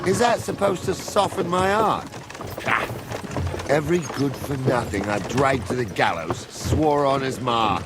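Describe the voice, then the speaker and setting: An actor playing a stern, scornful medieval captain in a video game, speaking bluntly and sarcastically. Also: male voice, mid-range voice, expressive, measured pace, loud music behind the voice, close to an everyday voice. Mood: sarcastic scorn. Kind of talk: video game drama acting. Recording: loud outdoor cart and hoof ambience.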